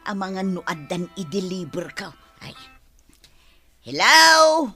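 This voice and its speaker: Eager simple wife, Ilocano accent, bright, animated voice, radio comedy. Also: female voice, mid-range voice, takes it slowly, soft background music, full character voice.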